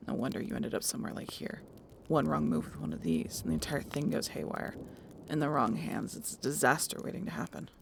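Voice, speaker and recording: A woman playing a blunt, distrustful harpy in an audio roleplay, with a low gravelly voice and a curt, sarcastic manner. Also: a little fast, slight background noise. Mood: wary, stern.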